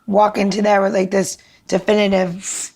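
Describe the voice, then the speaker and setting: A woman's voice: medium pitch, flat delivery, normal speed, darkish tone, husky. A woman podcast co-host chatting casually and explaining things conversationally, often checking in with the listener. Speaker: podcast co-host giving a cocktail tip. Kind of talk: casual chat and demonstration.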